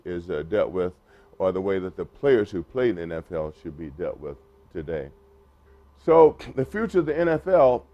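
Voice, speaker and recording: A man speaking at a press conference for a retired players group, halting, with many fillers and restarts. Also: mid-range voice, no echo. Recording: muffled lo-fi recording.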